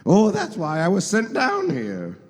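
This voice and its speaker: In a reverberant hall, a man plays a kindly old guardian angel for a live radio play. The voice is high and swoops freely, taking its time with fussy, stammering innocence. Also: huge pitch swings, a little slow, heavily acted. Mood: earnest.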